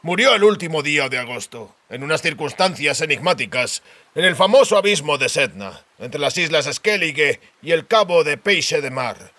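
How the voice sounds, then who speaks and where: A man's voice: fairly high pitch, expressive, normal speed, pushed and bright. A man voicing a cynical, stubborn royal spymaster in an audiobook, with terse, blunt delivery. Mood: guarded. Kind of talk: audiobook character dialogue.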